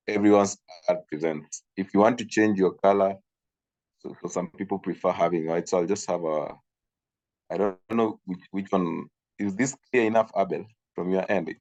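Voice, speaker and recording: Deep-voiced man teaching an online class. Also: normal speed, a little mellow, low-quality recording. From Kenya. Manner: hesitant, repeats himself, frequent fillers. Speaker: instructor. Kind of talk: online training session. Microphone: video call.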